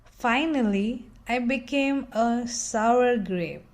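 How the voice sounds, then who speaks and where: Unhurried, higher-voiced woman reading a storybook. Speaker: picture book reader. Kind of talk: expressive children's story read-aloud.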